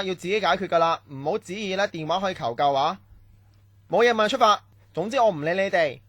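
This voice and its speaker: A man's voice: high-pitched, a bit bright. A man acting a strict, domineering training-camp instructor in a Cantonese radio drama, barking orders and scolding.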